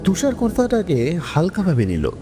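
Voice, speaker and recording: Animated male horror narrator with long reverb. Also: high-pitched, moderate pace. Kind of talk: dramatic scripted horror storytelling. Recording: long reverb, music bed.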